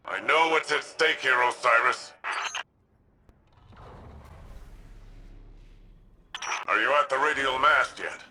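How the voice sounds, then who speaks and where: Man playing a grave, mid-pitched cautious ally. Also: normal speed, noisy background, close to an everyday voice. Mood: grave, wary. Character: cautious, thoughtful male ally giving mission guidance. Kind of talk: video game voice acting. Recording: music behind.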